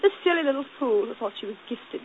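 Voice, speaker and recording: A woman's voice: on the high side, lively intonation, quick pace, natural voice. Woman voicing a proud young governess in an old radio play, bitter and passionate, muffled with hiss. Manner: clipped and bitter, then breathless. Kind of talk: 1940s radio acting.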